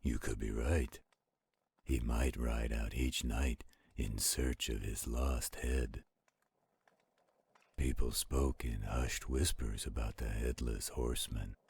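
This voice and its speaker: A man playing a gentle, protective werewolf in a roleplay audio, telling bedtime stories in a slow, intimate, caring way. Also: steady tone, exaggerated voice. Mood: soothing, storytelling. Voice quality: very deep, husky, gravelly growl. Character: gentle protective male werewolf, soothing storyteller to his partner.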